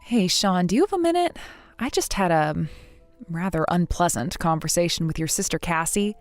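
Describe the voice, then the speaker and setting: A woman in her thirties voicing a patient, polite bride-to-be who speaks in a soft, measured, restrained way. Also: fairly low pitch, lively intonation, no room sound, soft background music, close to an everyday voice. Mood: hurt. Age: 30s.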